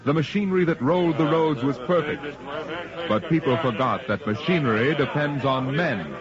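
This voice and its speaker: A man narrating a 1950s radio drama in a measured, portentous, scripted announcer style. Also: on the high side. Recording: muffled old radio, loud music.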